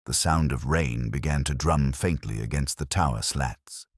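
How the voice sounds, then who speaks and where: A man narrating a first-person detective story in a measured, brooding, literary manner, as a world-weary investigator. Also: a bass voice, breathy edge, no echo, clean studio sound. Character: world-weary, reflective male police detective. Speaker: audio drama narrator.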